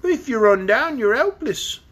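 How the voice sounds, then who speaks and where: A woman voicing a gruff, boastful young male dragon in a storybook reading. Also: fairly high pitch, animated, normal speed, voice pushed up. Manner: sneering, blunt. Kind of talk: audiobook character reading.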